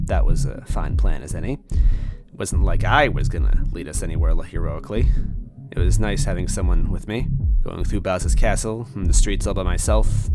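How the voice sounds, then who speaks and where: A man reading a first-person story aloud in an expressive narrating style. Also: deep voice. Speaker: story reader.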